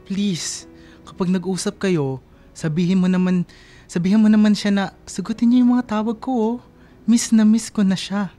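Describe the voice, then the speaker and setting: An actor playing an anxious, lovesick young man, earnest and halting, in a casual radio drama over faint music. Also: high-pitched, steady tone, mellow, lightly acted. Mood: pleading. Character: lovesick, anxious young man calling his best friend from abroad. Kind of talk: radio drama acting in casual Filipino talk.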